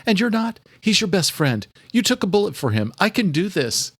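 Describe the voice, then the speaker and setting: A man's voice: big pitch swings, normal speed, no echo, clean studio sound. A man voicing a distraught, determined younger sister in an audiobook, pleading and insistent. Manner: pleading, insistent, higher-voiced. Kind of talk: audiobook character acting.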